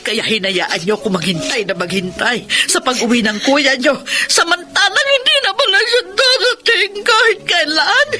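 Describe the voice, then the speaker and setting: A grieving Filipino mother in a radio drama. She speaks with tearful, anguished emotion in a middle-aged woman's voice, with music clearly behind her. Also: sits high, animated, normal speed. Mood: anguished, accusing. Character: grieving mother of a dead eldest son, anguished. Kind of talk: Tagalog radio drama acting.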